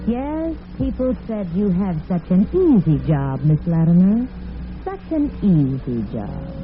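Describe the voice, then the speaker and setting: A woman's voice: lowish voice, big pitch swings, takes it slowly. A woman giving dramatic scripted narration as the storyteller of a radio drama. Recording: tinny vintage radio recording with music.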